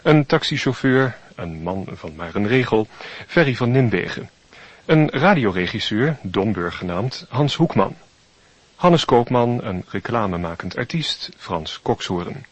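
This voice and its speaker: A man announces radio credits in a measured, formal way. His voice is low and a little rough, recorded very dry with a slightly dull tone. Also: sing-song, no echo.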